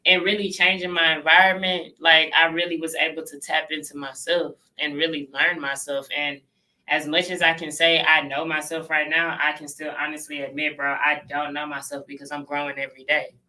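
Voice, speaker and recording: A woman's voice: deep voice, level tone, a little fast, bright, forceful tone, low-quality recording. A woman in her twenties, talking earnestly and thoughtfully as a regular on a casual talk show. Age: 20s. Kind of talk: casual podcast chat.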